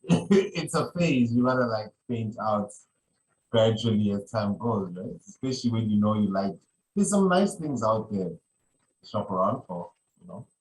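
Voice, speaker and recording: A man's voice: lowish voice, very animated, measured pace, low-quality recording. A man hosting a podcast in casual conversation, rambling and often repeating his phrases. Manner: rambling, repeats phrases, many "you know". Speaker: podcast host.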